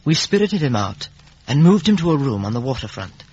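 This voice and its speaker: An actor playing an earnest, lovelorn young gentleman in a 1950s radio drama. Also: mid-range voice, sing-song, brisk, slightly rough, no room sound. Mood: grave narration. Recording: muffled, dull vintage radio recording.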